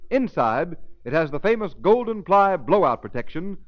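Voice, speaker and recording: A man announces in formal 1930s radio commercial style. His fairly high voice moves emphatically up and down like a salesman's. The vintage recording sounds dull and muffled. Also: big pitch swings, moderate pace.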